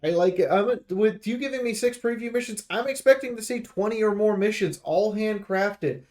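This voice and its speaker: A man with a fairly high voice talks through a game preview. His delivery is casual, like a streamer reviewing for viewers, and he is blunt and emphatic with his opinions. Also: moderate pace, darkish tone.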